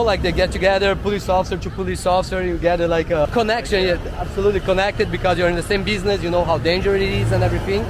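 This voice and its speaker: A man from Brazil with a Brazilian accent, talking casually to locals and to the camera while walking through a city. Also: high voice. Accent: Brazilian Portuguese. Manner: chatty, asks locals simple questions. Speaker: travel vlogger. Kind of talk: casual travel vlog narration. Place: outdoors on city streets. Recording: outdoor street noise. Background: street and traffic noise.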